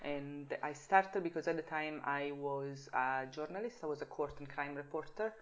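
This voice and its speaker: A woman's voice: low-pitched, normal speed. A woman in her thirties speaks with a Swiss Italian accent flecked with Scottish sounds. She answers questions in a frank, chatty way and laughs easily. The recording is a little dull and lo-fi. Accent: Swiss Italian, with a touch of Scottish. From Italian-speaking Switzerland. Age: 30s. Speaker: guest, jiu-jitsu blue belt. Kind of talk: casual interview answers.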